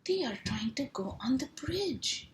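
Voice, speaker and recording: A woman reading a picture book aloud to young children in a sing-song, animated way, stretching sound words and asking simple questions. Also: sits high, measured pace. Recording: roomy echo, clear background noise.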